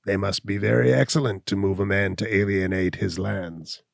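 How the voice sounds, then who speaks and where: Scornful old hunchbacked landowner, read by a man, slow drawn-out sneer, gravelly, close dry recording. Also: fairly low pitch, steady tone, dry recording.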